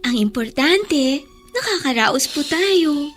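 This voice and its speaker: A woman's voice: high voice, animated, slow, soft background music. A woman playing a sweet, devoted teenage daughter in a Filipino radio drama, affectionate and respectful. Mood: grateful, hopeful.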